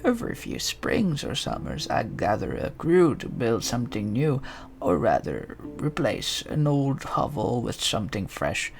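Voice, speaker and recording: A man's voice: medium pitch, very animated, measured pace, creaky, soft background music. A man voicing an elderly, matter-of-fact village woman with folksy, rustic phrasing in a fantasy game reading. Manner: folksy phrasing with tag endings.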